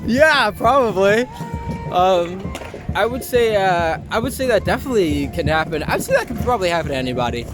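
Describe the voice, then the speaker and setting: A young man with a fairly high voice talks casually as an interview guest, informal and free with his opinions. He is recorded outdoors in a city, with music clearly playing behind him. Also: expressive, fairly quick. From Long Island, New York. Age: young adult. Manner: informal, mildly profane, opinionated. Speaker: interview guest, actor and performer. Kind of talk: casual street interview chat. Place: outdoors in an urban public spot.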